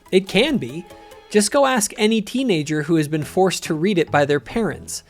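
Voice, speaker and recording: A man's voice: sits high, darkish tone. A man hosting a reaction show, moving between scripted introduction and casual chat with friends. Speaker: channel host.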